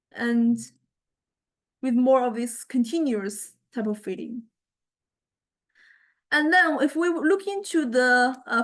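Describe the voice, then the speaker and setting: High-voiced woman lecturing, hesitant and conversational. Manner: hesitant, searching for words, conversational explaining. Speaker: university music technology lecturer. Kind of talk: informal lecture with software demonstration.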